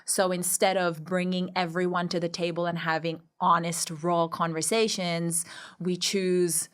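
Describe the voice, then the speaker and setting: A woman's voice: on the low side, flat delivery, unhurried, clean tone. A woman from Pakistan speaking as a podcast guest, telling her personal story in a reflective, emphatic and articulate way. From Karachi, Pakistan. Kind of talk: candid interview, personal storytelling.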